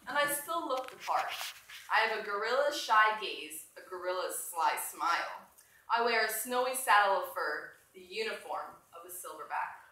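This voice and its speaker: A woman reading a storybook aloud as a proud, dignified, wry male gorilla, in a measured, solemn manner. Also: medium pitch, sharp tone. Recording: echoey room from far off, faint noise.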